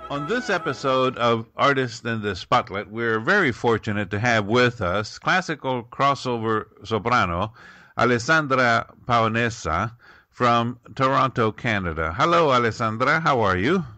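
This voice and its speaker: A man's voice: medium pitch, lively intonation, unhurried, pushed and bright, no room sound. A man hosting an interview show, asking questions in an upbeat way with some hesitations.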